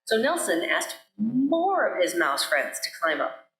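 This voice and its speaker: A woman minister telling a story to a congregation, deliberate and repeating phrases for effect. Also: medium pitch, big pitch swings, fairly bright tone. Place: church sanctuary. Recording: roomy, echoey sanctuary.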